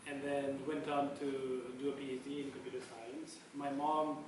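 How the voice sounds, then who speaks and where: A man from Nepal tells his story in a relaxed way, chuckling now and then. He is heard from a distance in a very echoey room, with steady noise behind him. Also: mid-range voice, normal speed. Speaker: guest, tech founder. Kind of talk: casual onstage interview. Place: event venue, echoey room. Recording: echoey room, far mic, background noise.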